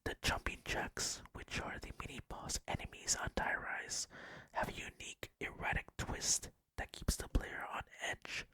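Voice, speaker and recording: A man whispering breathily and deliberately into a close microphone as he hosts an ASMR gaming commentary. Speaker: gaming video host.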